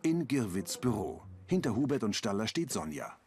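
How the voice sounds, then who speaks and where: A man reading a calm, matter-of-fact audio description of scenes for an accessible TV version. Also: medium pitch, normal speed, husky, faint music behind.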